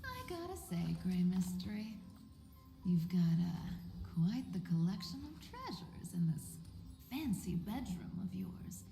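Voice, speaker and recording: Lively, teasing game heroine. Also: female voice, lowish voice, unhurried. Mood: teasing, playful. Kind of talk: video game voice acting. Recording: echoey reverb with music behind.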